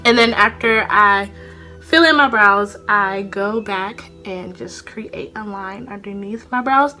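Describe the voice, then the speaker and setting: A young woman filming herself close up on a phone in a small room, talking through a makeup routine. Her voice is bright and clear at a medium pitch, a little stuffed up and short of breath from a cold. She chats loosely and rambles, with plenty of fillers. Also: slow pace, soft background music. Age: young adult. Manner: chatty, rambling, lots of fillers. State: congested from a cold, a bit out of breath. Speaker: beauty vlogger. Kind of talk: casual makeup tutorial. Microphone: phone camera, close up.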